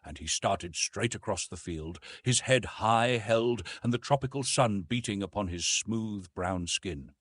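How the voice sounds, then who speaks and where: A man's voice: on the low side, a little slow, hardly any pauses, a bit bright, breathy edge, no room sound. A man giving scripted audiobook narration of a classic adventure novel.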